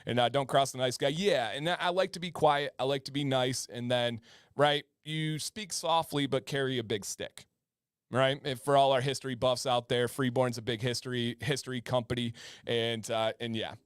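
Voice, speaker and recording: A man's voice: mid-range voice, fairly even intonation, moderate pace, clean tone, no room sound, studio quality. A man in his thirties hosting a live-stream chat show, chatty and prone to repeating words and restarting sentences. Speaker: host.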